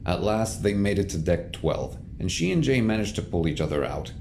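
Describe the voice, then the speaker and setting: A man's voice: low voice, big pitch swings, normal speed, creaky, a little mellow, slight background noise. A man narrating a scripted science fiction audio drama as its storyteller. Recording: music bed under the voice.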